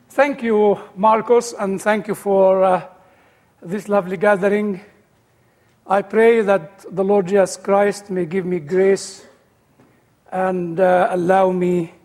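A man's voice: high voice, darkish tone, long reverb tail. A man with an Egyptian-British accent, an older guest speaker giving his personal testimony with dry humor.

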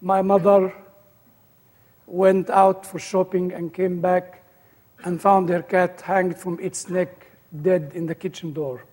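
A man's voice: sits high, level tone, slow, darkish tone, big-room sound. A man with an Egyptian-British accent, an older guest speaker giving his personal testimony with dry humor.

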